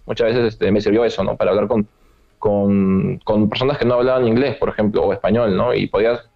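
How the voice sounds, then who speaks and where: Low-voiced man on a dull lo-fi line. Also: steady tone, vocal fry. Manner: measured, often ends sentences with a questioning "right?". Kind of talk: casual travel chat. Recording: dull lo-fi sound.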